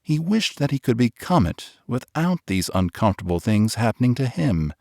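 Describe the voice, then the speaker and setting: A man narrating a children's storybook in a gentle, expressive way, slowing on the tender lines. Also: medium pitch, gravelly, no echo, studio quality. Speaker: audiobook narrator.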